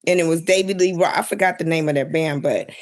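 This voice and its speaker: A woman's voice: deep voice, fast talker. A woman on a podcast panel chatting casually, in a slangy, emphatic way. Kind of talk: casual chat.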